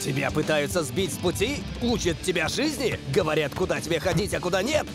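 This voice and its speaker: A man's voice: fairly high pitch, big pitch swings, fairly quick, over music. A man voicing a punchy, goading motivational coach in a cartoon dub, with emphatic rhetorical delivery. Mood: goading, pumped up. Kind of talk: animated cartoon dubbing.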